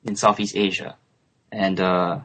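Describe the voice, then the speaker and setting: A man in his twenties from Singapore, a guest on a remote call, speaking thoughtfully with soft laughs and hesitant fillers. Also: deep voice, flat delivery, measured pace. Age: 20s. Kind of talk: relaxed interview chat.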